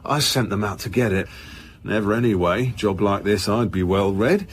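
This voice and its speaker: A man's voice: lowish voice, normal speed, slight background noise, close to an everyday voice. A man playing a genial, dry senior police boss in a naturalistic radio drama, droll and understated. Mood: droll, casual. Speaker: actor in an audio drama.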